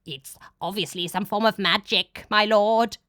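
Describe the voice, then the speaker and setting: A woman's voice: mid-range voice, steady tone, a little slow, a bit bright, no echo, clean studio sound, lightly acted. A woman voicing a nervous, deferential demon underling in an audiobook. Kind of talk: dramatic audiobook character voice.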